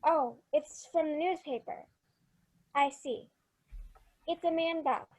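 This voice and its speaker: A woman's voice: sits high, takes it slowly. A woman playing an anxious, stubborn and inquisitive little girl in an old-time radio Christmas drama. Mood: insistent, curious.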